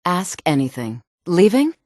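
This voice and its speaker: A woman voicing a proud, secretive exiled noblewoman in a fantasy video game, with a sultry, velvety voice and measured, dramatic, formal delivery. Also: fairly low pitch, very animated, darkish tone, dry recording, studio quality. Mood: silky, affectionate.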